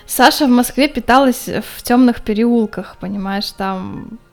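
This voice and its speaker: Wry woman player, higher voice. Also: measured pace. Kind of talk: casual post-game chat. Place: online voice chat from home late at night.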